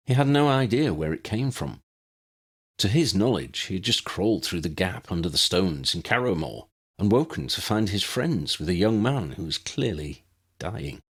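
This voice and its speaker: A man with a husky voice reading fantasy fiction as a scripted audiobook narration. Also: deep voice, very animated, moderate pace.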